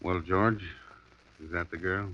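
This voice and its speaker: A man's voice: deep voice, fairly even intonation, a little slow, sharp tone. An actor playing a wisecracking, breezy male private detective in a 1950s radio drama. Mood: firm. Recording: dull lo-fi 1950s radio broadcast.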